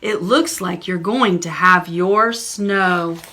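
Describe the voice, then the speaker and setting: A woman's voice: on the low side, slow pace, sharp tone, exaggerated voice. A woman voicing a matter-of-fact father in a children's story.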